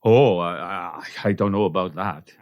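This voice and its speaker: A man's voice: sits low, normal speed, no room sound, lo-fi sound, natural voice. A man playing an awkward, plain-spoken Welsh sheep farmer in a comic radio play. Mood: hesitant, subdued. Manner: hesitant, matter-of-fact.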